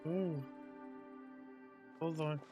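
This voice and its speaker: Guy doing chill gameplay commentary, slightly high voice, game music going in the back. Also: fairly even intonation, drawn-out words, dull audio. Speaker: gamer narrating own playthrough.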